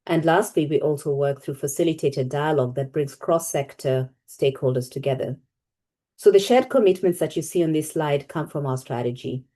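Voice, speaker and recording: Low, mellow woman presenting slides. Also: moderate pace. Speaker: webinar presenter.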